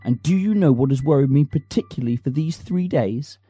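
A man playing a bitter, self-loathing poor man who swings from shouted rants to low, brooding talk. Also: lively intonation, fairly quick, husky, soft background music. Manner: melodramatic, lurching from shouted rants to low narration. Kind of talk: comic amateur drama reading.

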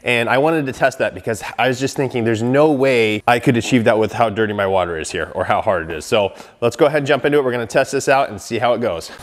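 A man's voice: mid-range voice, fast talker, few pauses. A man in his thirties, a professional car detailer, casually explaining a product test in his shop with frequent fillers and restarts.

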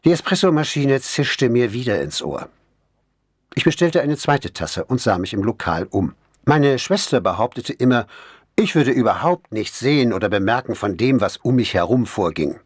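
A man narrating a crime novel in the first person, reading in a measured, expressive literary manner. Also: medium pitch, breathy edge, no room sound, studio quality. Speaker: audiobook narrator.

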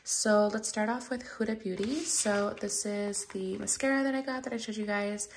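A young woman, talking in a soft, half-whispered way as she unboxes makeup at home in a casual vlog. Her voice is raspy. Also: mid-range voice, fairly even intonation, normal speed, slight background noise. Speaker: vlogger.

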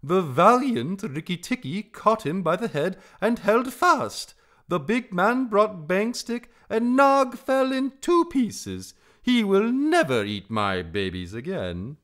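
A man's voice: high-pitched, wildly swooping pitch, a little slow, pitched above the performer's own voice, heavily acted. A man voicing a small, feather-brained male songbird in a storybook, with an exultant, singsong delivery. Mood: triumphant.

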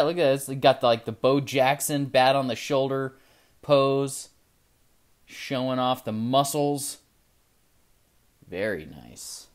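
Male card-breaker host, casual desk chat, medium pitch, bursts of excitement then mumbled asides. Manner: chatty, excited, trails off quietly while looking at cards. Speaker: trading card channel host. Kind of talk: casual pack-opening commentary. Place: indoor desk with card display. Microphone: camera over the desk, hands only in frame.

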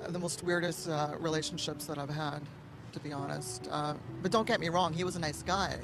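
A woman's voice: deep voice, fairly even intonation, fairly quick. A woman speaking candidly into a reporter's handheld mic out on a city street. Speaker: interviewee. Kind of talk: street interview. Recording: street noise.

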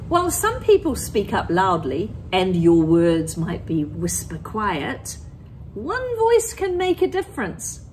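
Slow, expressive woman reading to children. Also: a little high, a little hiss or hum. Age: middle-aged. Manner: expressive, deliberate phrasing, prompts listeners with questions. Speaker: primary school teacher reading aloud. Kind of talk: picture-book read-aloud and talk to children. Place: empty school classroom, indoors.

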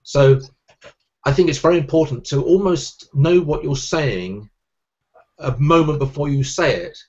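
A man in his forties with a Southern English Sussex accent, a magician speaking with crisp diction and quick energy in a casual video-call interview. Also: fairly high pitch, dull audio. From England. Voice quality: clear diction. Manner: fast, energetic talker, gestures while speaking. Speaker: magician guest. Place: room at home, indoors. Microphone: webcam on a video call.

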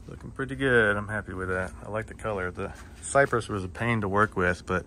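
A man casually talking through his boatbuilding project in a home garage workshop, relaxed and easygoing, recorded on a handheld phone. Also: fairly low pitch, brisk, slight background noise. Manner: relaxed, easygoing, thinking aloud.

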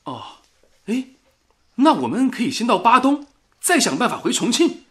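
A man's voice: extremely high pitch, very animated, moderate pace, sharp tone, exaggerated voice. An actor playing a good-natured, grateful man from a wartime radio station in a radio drama, chatty and polite. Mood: considerate. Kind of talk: Mandarin radio drama acting.

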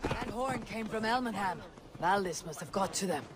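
An actor playing a resolute, commanding Viking warrior leader in a video game, speaking in terse, solemn statements. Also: male voice, high-pitched, lively intonation, moderate pace. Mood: grim resolve. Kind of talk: video game cutscene acting. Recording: outdoor ambience noise with horse hooves.

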